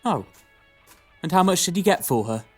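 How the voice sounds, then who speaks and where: A woman playing a sharp-tongued, exasperated mother with a sarcastic manner in a pantomime audio drama. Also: lowish voice, animated, moderate pace, breathy edge, faint music behind. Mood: expectant. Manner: sarcastic, scolding.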